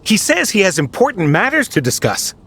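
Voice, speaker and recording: A man's voice: sits high, huge pitch swings, a bit bright, slight background noise, exaggerated voice. An actor playing an eager, flustered young male knight delivering an urgent message. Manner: hurried, urgent calling. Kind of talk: game cutscene voice acting.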